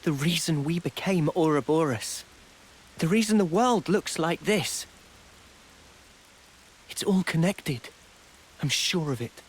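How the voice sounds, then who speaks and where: Earnest, slow-spoken young soldier. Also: male voice, fairly high pitch, lively intonation, slightly rough, heavily acted. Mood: firm, insistent. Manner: measured, deliberate. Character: earnest, thoughtful young male soldier, quietly resolute. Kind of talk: video game cutscene acting.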